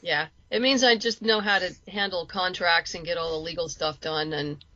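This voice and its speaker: A woman's voice: lowish voice, normal speed, bright, forceful tone, a little hiss or hum. A woman chatting casually as an interview guest, talkative and a little self-deprecating. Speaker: podcast guest, writer and producer. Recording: dull lo-fi voice track.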